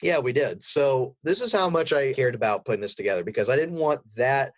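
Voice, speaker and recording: A man's voice: medium pitch, animated, fairly quick, no echo. Man chatting casually, dry slightly dull sound. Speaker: podcast guest, voice actor and audio drama creator. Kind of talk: casual interview chat, storytelling.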